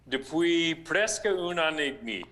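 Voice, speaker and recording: A priest with an American accent and English as his first language, answering interview questions slowly and haltingly, with restarts. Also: fairly high pitch, expressive, bright, forceful tone. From United States (Missouri). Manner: slow, halting, with restarts and searched-for words. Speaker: rector of a shrine, priest.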